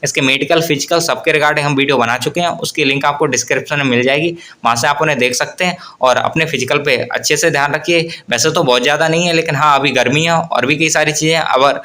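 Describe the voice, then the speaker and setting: A man presents an informal explainer update. His voice sits a little high and has a sharp, bright edge. He talks in an explanatory way, often rewording what he has just said. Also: fast talker, hardly any pauses. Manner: explanatory, often rephrases and repeats points. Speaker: host of an exam news channel. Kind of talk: informal explainer update on government exam news.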